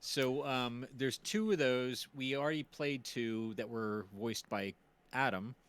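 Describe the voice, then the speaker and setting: A man's voice: medium pitch, a little slow, fairly bright tone, clean tone. A man co-hosting a live stream, explaining things casually and a bit hesitantly. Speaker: stream co-host and performer.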